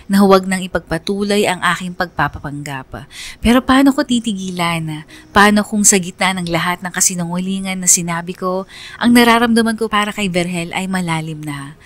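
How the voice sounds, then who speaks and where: A woman's voice: mid-range voice, natural voice. A woman playing a lovestruck, conflicted young woman narrating her own story in a confiding radio drama style. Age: young adult. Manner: confiding, earnest.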